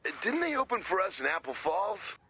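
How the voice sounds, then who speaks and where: An actor playing an excitable, defensive lead guitarist in a video game, with a raspy, whiny voice over radio. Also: male voice, high-pitched, brisk, slight background noise, heavily acted. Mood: upbeat, chummy. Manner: dramatic, whiny, indignant.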